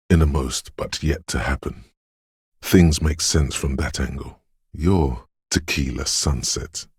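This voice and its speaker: Very deep, eerie inner voice. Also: male voice, slightly rough, no room sound, clean studio sound, full character voice. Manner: ominous, cryptic, theatrical, portentous delivery. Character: Inland Empire, a detective's eerie, dreamy inner voice of intuition. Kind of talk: scripted video game voice-over, second-person monologue.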